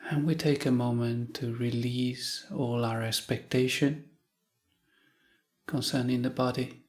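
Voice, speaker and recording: Slow, calm man guiding meditation. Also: medium pitch. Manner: slow, calm phrases with long pauses. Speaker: meditation teacher. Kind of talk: guided meditation.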